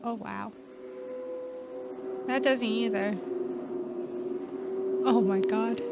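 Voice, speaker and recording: A woman's voice: fairly high pitch, steady tone, very slow, vocal fry, heavy background noise. A woman doing casual game playthrough commentary, chatting to herself and reading on-screen text aloud. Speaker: gamer playing and commenting on a game. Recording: loud game music behind the voice. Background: game soundtrack.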